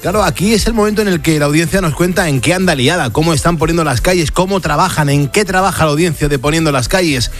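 A man's voice: fairly high pitch, quick pace, few pauses, faint music behind. A man with an accent from Spain hosting a radio show, reading listener messages in an effusive, affectionate manner. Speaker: radio host.

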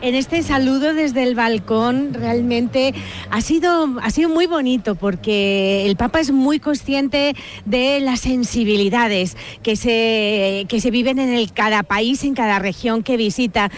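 A woman working as a radio field reporter, speaking live on location, often pausing and repeating phrases. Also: on the high side. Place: outdoors among a crowd.

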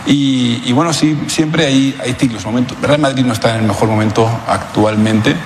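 A man answering questions in an interview clip, hesitant and halting, with many fillers. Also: medium pitch, noisy background. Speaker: interviewed guest, possible club presidential candidate. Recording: roomy clip with background ambience.

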